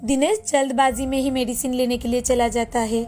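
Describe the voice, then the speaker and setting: A woman's voice: high voice, level tone, a little fast, mellow, faint music behind. A woman narrating a scripted moral story as the storyteller.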